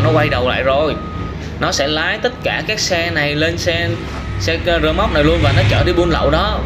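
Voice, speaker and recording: A man in his thirties giving exclamatory, simple storytelling commentary on game footage, as if for children. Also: a little high. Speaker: host of gaming reaction videos for children. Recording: noisy, car engine sounds behind.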